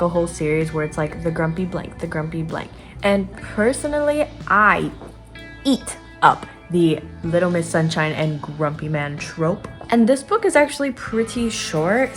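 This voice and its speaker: Lower-pitched young woman, chatty book vlogger. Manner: informal, youthful slang and asides. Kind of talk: casual chatty book review to camera. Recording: voice over clearly audible background music.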